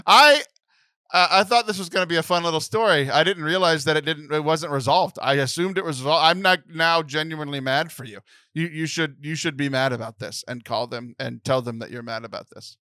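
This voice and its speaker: A man's voice: a little high, fairly quick, bright, forceful tone, smooth, no echo. A man co-hosting a casual podcast, joking and often laughing, on a close mic. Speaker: podcast co-host.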